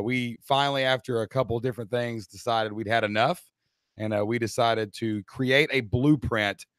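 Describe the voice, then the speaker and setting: Man, hoarse, upbeat live-stream host, dry close sound. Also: mid-range voice, a bit bright, no room sound. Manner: upbeat, addresses the chat audience directly.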